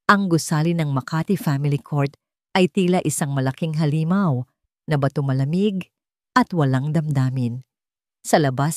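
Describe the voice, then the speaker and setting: A Filipino woman narrates a dramatic audio story in a fairly low voice. Her delivery is scripted storytelling with a Filipino accent. The sound is dry, with little room around it. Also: a little mellow, no room sound.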